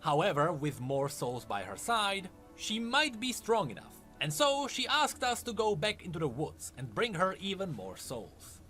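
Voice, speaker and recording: A man's voice: high-pitched, wildly swooping pitch, normal speed, hardly any pauses, bright, forceful tone, soft background music. A man delivering scripted narration as the narrator of a lore video.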